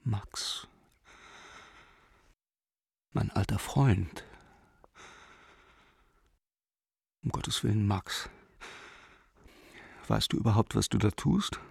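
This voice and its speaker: Desperate pleading man, radio play, husky fry, halting, whisper-prone, imploring, dry studio sound. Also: lowish voice, no echo, heavily acted. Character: desperate frightened German man pleading by letter with old friend. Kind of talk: radio drama letter monologue.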